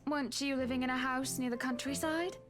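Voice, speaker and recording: Woman acting a prim, high-voiced socialite wife. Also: fairly even intonation, fairly quick, natural voice. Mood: mildly disapproving. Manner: prim, genteel. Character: refined, polite, snobbish socialite wife. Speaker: character voice actor in a visual novel. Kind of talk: voiced game dialogue. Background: music.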